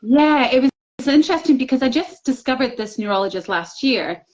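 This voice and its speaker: A woman's voice: high voice, animated, moderate pace, a little mellow. A middle-aged woman telling her personal story openly and earnestly as an interview guest, with fillers. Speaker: guest, book contributor. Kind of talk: casual interview chat. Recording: slightly dull, lo-fi audio.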